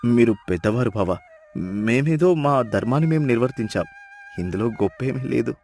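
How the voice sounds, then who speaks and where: A man's voice: mid-range voice, animated, normal speed, darkish tone, natural voice. A man playing a humble, poor village husband in a dubbed moral-story cartoon, speaking meekly and deferentially. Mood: humble, thankful. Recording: music behind the voice.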